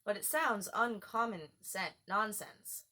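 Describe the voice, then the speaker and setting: A woman's voice: medium pitch, takes it slowly, sharp tone. A woman voicing an easily offended, persistent mock turtle in a storybook, earnest and querulous. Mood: puzzled, persistent.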